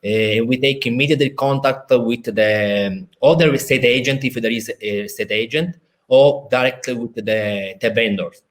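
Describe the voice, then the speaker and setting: A man with an Italian accent, a lawyer explaining a legal procedure over a video call, halting with many fillers and restarts. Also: medium pitch. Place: in a city in Italy, at home or office.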